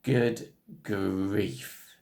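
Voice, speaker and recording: A man's voice: lowish voice, steady tone, very slow, dark tone, voice pushed down. A man voicing a snooty, boastful creature from a children's storybook in a haughty, declaring manner. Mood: dismayed, indignant.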